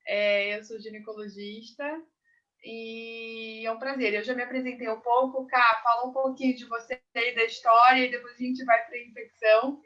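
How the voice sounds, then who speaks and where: A woman's voice: on the high side, little pitch movement, moderate pace, bright, forceful tone, far from the mic. Woman explaining over muffled video call. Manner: patient, explanatory, many "né"s. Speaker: gynecologist.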